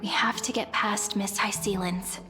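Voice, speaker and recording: An actress playing a sweet, polite and curious young heroine in a game, with a gentle, earnest way of talking. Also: female voice, medium pitch, fairly even intonation, normal speed, close to an everyday voice. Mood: thoughtful. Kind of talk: game character voice acting. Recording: long reverb, music behind. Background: music.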